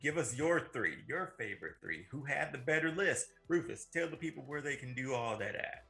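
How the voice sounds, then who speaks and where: A man's voice: mid-range voice, animated, normal speed, vocal fry, bright, forceful tone, faint music behind. A man co-hosting a movie talk show, chatting casually. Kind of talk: casual chat.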